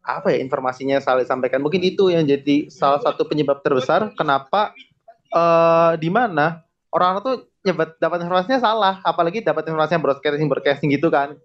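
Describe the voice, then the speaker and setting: A man's voice: a little high, fast talker. A young man, a podcast guest, explaining in casual slang and chuckling often. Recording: dull lo-fi podcast recording.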